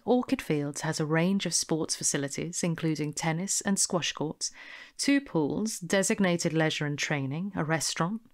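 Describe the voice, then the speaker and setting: A woman playing a smooth, bossy con artist with a brisk, persuasive manner in an audio drama. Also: lowish voice, lightly acted. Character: smooth, bossy female con artist posing as investment promoter.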